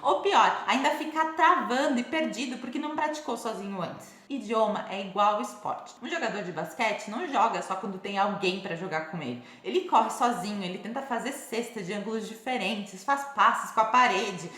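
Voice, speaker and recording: A woman's voice: mid-range voice, moderate pace, few pauses, a bit bright. A young woman teaching English in a casual vlog, blunt and punchy, talking straight to her viewers in an echoey room. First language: Portuguese. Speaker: English teacher on a video channel. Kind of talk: energetic direct-to-camera teaching vlog.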